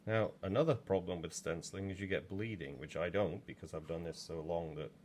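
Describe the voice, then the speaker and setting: A man's voice: sits low, fairly even intonation, a little fast, darkish tone, a little hiss or hum. A man in his sixties from England, chatting in a rambling way with plenty of ums while working in a pottery studio, recorded from a camera just over his shoulder. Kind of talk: casual demo talk while working.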